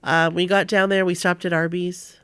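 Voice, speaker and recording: A woman's voice: on the low side, level tone, moderate pace, clean tone, dry recording, clean studio sound. A woman co-hosting a podcast in casual chat. She is slightly sniffly and keeps chiming in with upbeat, reassuring agreement. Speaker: podcast co-host. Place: indoor room, downstairs, under an air conditioner.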